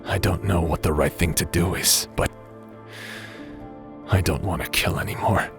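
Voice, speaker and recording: Man acting a deep-voiced, weary, guilt-ridden space pirate. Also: moderate pace, music in the background. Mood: guarded, remorseful. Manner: hesitant, wry, subdued. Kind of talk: audio drama acting.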